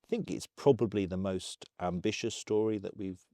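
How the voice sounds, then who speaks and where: Englishman, interview talk. Low-pitched, mellow, closely recorded with no room. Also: steady tone, moderate pace, no echo, studio quality.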